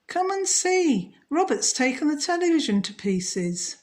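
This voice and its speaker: Narrator as an excitable, fretful storybook wife, high-pitched woman. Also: unhurried. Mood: amazed. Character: caring, easily amazed wife, fretful and excitable. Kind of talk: children's storybook character voice.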